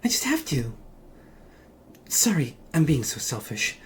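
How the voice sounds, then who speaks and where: Man voicing a brash, animated young priestess. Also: on the high side, measured pace, slight background noise. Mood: yearning, apologetic. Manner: brash, emphatic. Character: hot-headed, ambitious young army priestess, female.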